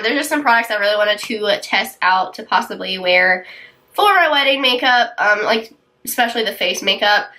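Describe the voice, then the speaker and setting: Congested young woman, bright chatty voice. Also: fairly high pitch. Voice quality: tight, dry throat. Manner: chatty, rambling with many ums and likes. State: congested, sick voice. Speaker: beauty vlogger. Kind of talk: casual get-ready-with-me chat.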